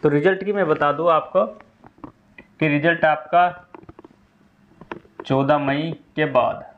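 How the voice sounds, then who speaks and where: A man's voice: on the high side, takes it slowly, phone-quality sound, a little hiss or hum. A man giving an informal explainer to students as a presenter, going step by step and repeating key points. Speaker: exam news presenter explaining updates to candidates.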